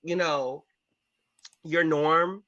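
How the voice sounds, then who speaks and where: A man with a high, sharp voice argues his opinions bluntly and emphatically. He swears freely as a panelist in a heated discussion. The sound is dry and slightly dull. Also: steady tone, very slow, no echo. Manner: blunt, foul-mouthed, emphatic. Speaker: panelist on a pop culture discussion show.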